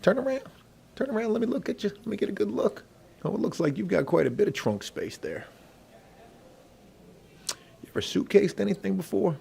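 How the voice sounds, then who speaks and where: A man playing a middle-aged veteran inmate who bosses the prison kitchen, in an ASMR role play with a gravelly, husky voice and a folksy, intimidating manner. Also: high-pitched, expressive, a little mellow, exaggerated voice. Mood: leering. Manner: folksy, intimidating, drawn-out menacing delivery. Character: gruff, bossy veteran male prison inmate running the kitchen.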